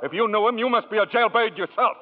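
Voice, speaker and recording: An actor playing a mean, bullying bartender with gruff street-tough talk, barking in 1940s radio drama style. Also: male voice, high voice, fast talker, lightly acted. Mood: scornful, barking. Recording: tinny narrow-band old radio recording.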